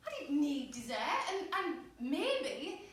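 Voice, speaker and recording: A woman's voice: high voice, sing-song, slow, full character voice. An actress playing a wounded, sweary, self-deprecating young woman in a candid, blunt live stage monologue. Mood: hurt, wistful. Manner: candid, blunt, swinging between joking and hurt. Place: small pub theatre stage. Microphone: distant camera or audience microphone. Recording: echoey room from far off, noisy.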